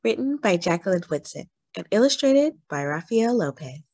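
A woman reading a children's picture book aloud expressively, with dramatic, deliberate phrasing. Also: mid-range voice, big pitch swings, darkish tone, no echo. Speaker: story reader, host of a read-aloud channel.

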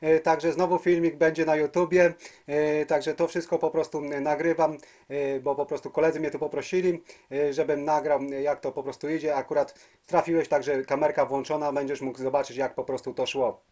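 A man's voice: on the high side, little pitch movement, normal speed, darkish tone. A man chatting casually as a CB radio operator, hesitating and repeating words. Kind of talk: casual radio contact chat. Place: at a home radio base station.

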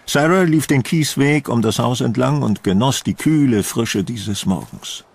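A man's voice: medium pitch, measured pace, creaky, a little mellow, breathy edge. A man narrating a horror audio drama in a dramatic, scripted storytelling style.

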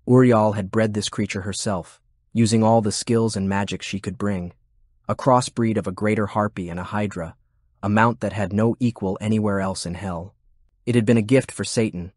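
A man's voice: lowish voice, darkish tone, dry recording, studio quality. A man reading scripted, dramatic narration as an audiobook narrator.

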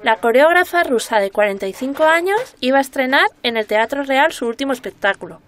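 Inquisitive high-voiced woman journalist. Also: animated, brisk, fairly bright tone. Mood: focused recounting. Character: inquisitive, excitable woman journalist investigating a murder. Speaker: voice actor in a radio serial. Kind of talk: naturalistic Spanish radio drama dialogue.

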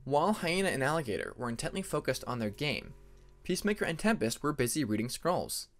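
Male audiobook narrator, scripted fantasy storytelling, expressive pitch movement. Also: brisk.

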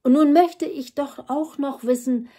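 A woman reading a fairy tale as a curious, polite young prince, measured and inquiring. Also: sits high, mellow, pitched above the performer's own voice. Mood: curious, earnest.

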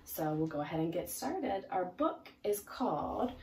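A young adult woman presenting a picture-book read-aloud in an expressive, emphatic way for young children. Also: lowish voice, a little slow. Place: small indoor room, seated in armchair. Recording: roomy, distant mic, faint noise.

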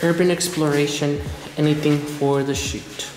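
A young man, hosting a casual vlog and telling rambling, joking stories while filming himself in a dark abandoned prison. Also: on the high side, little pitch movement, normal speed. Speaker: vlog host. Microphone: handheld camera at arm's length. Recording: echoey concrete halls with music.

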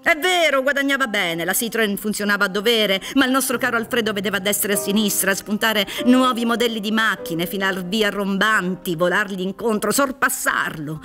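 A woman acts a theatrical prosecutor in a radio play. The voice sits at a medium pitch and declaims rhetorically, full of gleeful insinuation. Faint music plays behind. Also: brisk, hardly any pauses, pushed and bright, natural voice. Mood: triumphant. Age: middle-aged. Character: prosecutor in a mock trial, theatrical, gleefully insinuating retired official. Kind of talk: radio drama acting. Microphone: studio microphone on stage, headphones.

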